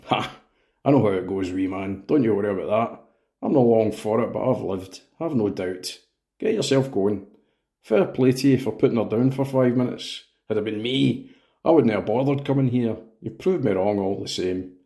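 Gruff, wheezy old grandpa, read by a man; low and dark, blunt and teasing. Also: normal speed, natural voice.

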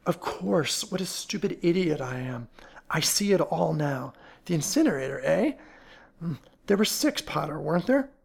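A man's voice: on the high side, animated, normal speed, gravelly, breathy edge, full character voice. A man playing a composed, clever amateur detective in an audiobook, speaking in an assured, incisive manner.

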